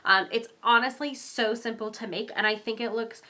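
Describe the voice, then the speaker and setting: A woman's voice: fairly high pitch, fairly even intonation, normal speed, sharp tone. A young woman with a stuffy, congested voice, chatting through a casual card-making tutorial, rambling with frequent ums and small laughs. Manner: giggly, laughs often. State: congested from a cold.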